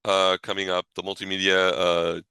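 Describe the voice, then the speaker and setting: A man co-hosting a podcast in casual unscripted chat, halting and reworking his phrases as he goes. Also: sits low, monotone, pushed and bright, smooth, no echo. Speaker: podcast co-host.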